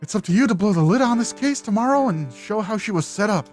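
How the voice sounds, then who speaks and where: A calm, confident female mentor and lawyer in a visual novel game, played by a woman. The delivery is measured and instructive, with lively intonation at a middle pitch. Soft music sits behind. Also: close to an everyday voice. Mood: explaining.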